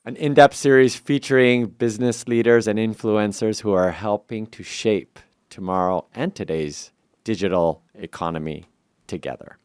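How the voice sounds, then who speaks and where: A man's voice: on the low side, moderate pace, a little mellow, clear, resonant tone, no echo. Casual middle-aged man hosting, dry sound. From Taiwan. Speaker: podcast host, interviewer. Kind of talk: casual, informal interviewing.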